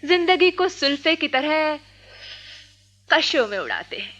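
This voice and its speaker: High, bright, theatrical playful girl. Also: very animated, unhurried, dull audio, heavily acted. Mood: mock-poetic, playful. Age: young adult. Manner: teasing, mock-poetic, dramatic. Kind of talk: Hindi radio play acting.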